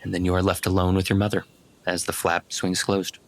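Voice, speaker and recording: A man working as game master, narrating a tabletop roleplaying game in a deliberate, descriptive way. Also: sits low, monotone.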